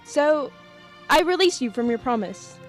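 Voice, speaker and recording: An earnest young sweetheart, played by a woman in a radio play. The voice is fairly high and theatrical, with faint music underneath. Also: animated, a little slow. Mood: sorrowful resolve. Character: earnest, sorrowful young sweetheart, later a wife.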